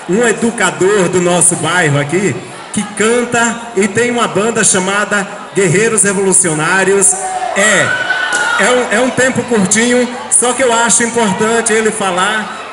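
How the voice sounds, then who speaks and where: A Brazilian man from Sergipe, with a Sergipe accent, hosting a school event and singing, shouting energetically to the crowd. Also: high voice. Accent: Sergipe Brazilian Portuguese. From Aracaju, Sergipe, Brazil. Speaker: singer and event host. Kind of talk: live show hosting and singing. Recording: echoey live show with loud crowd and music.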